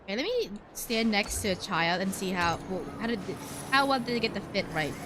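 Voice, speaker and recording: A woman's voice: mid-range voice, animated, fairly quick, noisy background. A woman giving casual, rambling live commentary as she plays a video game, chatty and slangy. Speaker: streamer playing a video game. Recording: game music behind voice.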